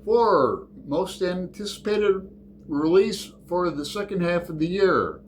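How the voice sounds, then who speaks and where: A man's voice: sits high, animated, takes it slowly, a little hiss or hum. A middle-aged man hosting a casual book vlog, talking in a rambling, digressive way with fillers and self-corrections. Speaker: book channel host.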